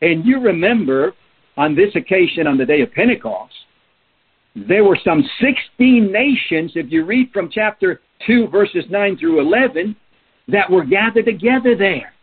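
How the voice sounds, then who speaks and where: Husky preacher on a tinny line. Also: male voice, high voice, animated, normal speed. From Peru. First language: Spanish. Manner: earnest, emphatic, asks rhetorical questions. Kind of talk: sermon. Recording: tinny phone-like sound.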